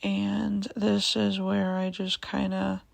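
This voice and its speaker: A young woman with a raspy voice giving a casual vlog voiceover, hesitant, with many ums, and repeating herself. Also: mid-range voice, completely flat delivery, slow pace, no echo. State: raspy voice, going in and out. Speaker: vlogger.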